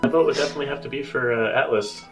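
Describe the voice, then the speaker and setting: A man's voice: mid-range voice, rapid-fire. A man chatting casually as a player on a tabletop game show. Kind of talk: casual chat. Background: music.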